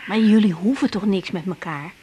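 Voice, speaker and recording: An actress playing a teasing, affectionate young bride and doctor in a radio drama, with a Netherlands Dutch accent. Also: female voice, medium pitch, fairly quick, a little hiss or hum, close to an everyday voice. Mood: concerned, gently teasing. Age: young adult. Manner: teasing, animated, stammers when flustered.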